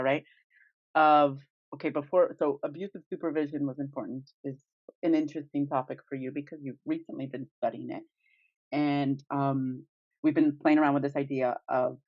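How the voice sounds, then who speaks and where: A woman co-hosting a podcast in casual chat, with an animated, exclamatory way of talking. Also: low voice, dry recording, muffled recording. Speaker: podcast co-host.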